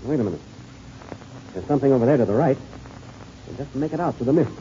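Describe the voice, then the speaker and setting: On a muffled, slightly noisy old radio recording, a man plays a plucky American aviator. His voice is very mellow yet lively in pitch, brisk and commanding with a modest streak, in vintage serial style. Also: lightly acted. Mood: relieved. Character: steady, plucky man, seasoned adventure pilot. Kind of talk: 1930s radio serial acting.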